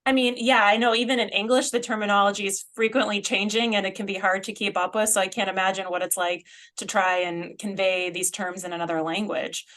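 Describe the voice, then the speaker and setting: A woman's voice: mid-range voice, level tone, brisk, hardly any pauses, fairly bright tone. A woman hosting a medical podcast, asking questions in a friendly, casual way. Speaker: podcast host. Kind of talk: podcast interview.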